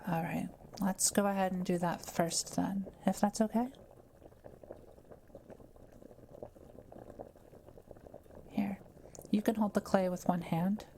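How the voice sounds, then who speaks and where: Woman playing a soft, mellow, gentle witch. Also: mid-range voice, fairly even intonation, moderate pace, gravelly, a little hiss or hum, close to an everyday voice, low-quality recording. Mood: gentle, reassuring. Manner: gentle, careful, explanatory. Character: kind, quiet witch brewing a potion, gently reassuring. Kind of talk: soft-spoken roleplay audio, talking to the listener.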